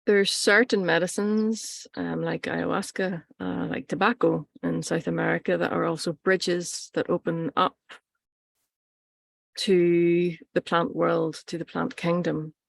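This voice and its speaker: A woman teaches in an informal, reflective manner, pausing between short phrases. Her voice sits on the low side and stays fairly even in melody, with a noticeable creak at the edges. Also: dry recording. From Ireland. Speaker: workshop teacher and plant practitioner.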